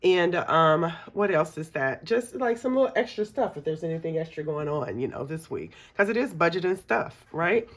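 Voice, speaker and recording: Middle-aged woman, deep for her range, scratchy cold voice, chatty budgeting host. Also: expressive, moderate pace, hardly any pauses. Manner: chatty, many ums, counts money aloud. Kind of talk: casual chat while cash stuffing.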